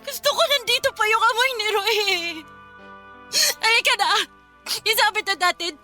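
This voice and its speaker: A young Filipino woman sunk in grief, in a radio drama. Her voice is extremely high and sharp-edged. She pleads tearfully and desperately, with faint music behind her. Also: normal speed. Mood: desperate pleading.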